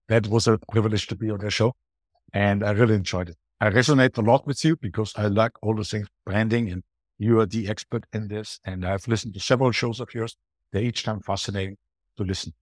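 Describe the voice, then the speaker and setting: A middle-aged or older Austrian man speaks in a low voice with a dry, close sound. His accent is that of a native German speaker. He explains things emphatically and conversationally, as a guest in an interview, often repeating himself for stress. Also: steady tone, fairly quick, dry recording. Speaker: podcast guest, booking strategist.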